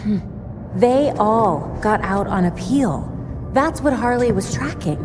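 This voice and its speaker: A woman's voice: fairly high pitch, animated, slow pace. An actress playing a determined, self-critical young female detective hero in a video game, dry and musing. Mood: focused deduction. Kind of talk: video game cutscene acting. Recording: long hall reverb, music behind.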